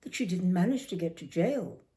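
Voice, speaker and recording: A woman's voice: fairly low pitch, expressive, moderate pace, mellow, voice pushed up, close to an everyday voice. An older woman voicing a polite, hesitant thirteen-year-old schoolgirl in a memoir reading, earnest and tentative. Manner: earnest, tentative, well-mannered.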